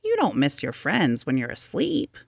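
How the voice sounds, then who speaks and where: A woman voicing a small male hedgehog, sleepy, patient and gently bossy, with an emphatic storybook delivery. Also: low voice, huge pitch swings, moderate pace, gravelly, breathy edge, dry recording, studio quality, full character voice. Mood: explaining.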